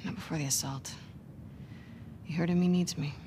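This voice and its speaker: A stubborn young soldier girl in a game, slightly low voice, direct, music behind. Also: steady tone, normal speed, noisy background. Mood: determined. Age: young adult. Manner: direct, insistent. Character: headstrong, loyal young female soldier. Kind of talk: naturalistic game cutscene acting.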